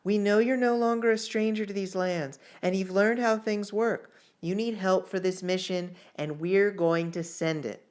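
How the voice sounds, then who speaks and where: A man's voice: sits high, steady tone, normal speed, few pauses, clear, resonant tone, lightly acted. A man reading the part of a passionate, reassuring female army officer in a fantasy story. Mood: soothing.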